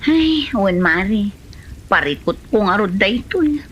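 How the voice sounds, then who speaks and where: A woman's voice: medium pitch, sing-song, slow, slightly rough, dull audio, exaggerated voice. A woman playing an aggrieved village snack seller in a comedy drama, with an Ilocano accent. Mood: earnest, troubled. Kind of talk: Filipino comedy drama dialogue.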